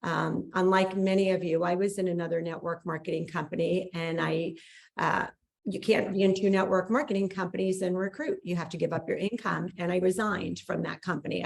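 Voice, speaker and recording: A woman hosts a call and gives an effusive, emphatic motivational introduction. Her voice is lowish with a gravelly fry. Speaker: call host.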